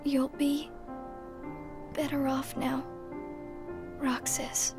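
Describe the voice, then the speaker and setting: A woman playing a gentle, sad young girl in a video game cutscene, speaking softly and earnestly. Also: sits high, monotone, extremely slow, heavily acted. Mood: resigned, tender. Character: gentle, sad young girl bidding her best friend farewell. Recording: game cutscene with loud music.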